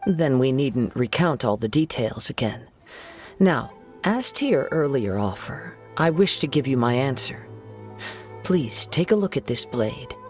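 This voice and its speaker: An actor playing a gentle, reflective young wandering swordsman in a video game, speaking in a measured, formal, contemplative way. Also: medium pitch, sing-song, soft background music. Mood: polite, resolute.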